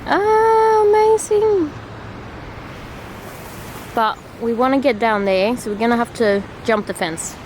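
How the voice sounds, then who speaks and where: A young woman from Sweden with a Swedish accent, chatting excitedly in a casual travel vlog and laughing often. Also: fairly high pitch, takes it slowly, long pauses, slight background noise. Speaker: vlogger.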